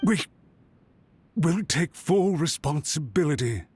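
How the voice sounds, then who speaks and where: A man's voice: fairly high pitch, lively intonation, takes it slowly, darkish tone, husky, no room sound, faint music behind, full character voice. An actor playing an anxious, servile official in a video game, deferential and pleading as he speaks to a superior. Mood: anxiously earnest. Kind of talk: scripted video game dialogue.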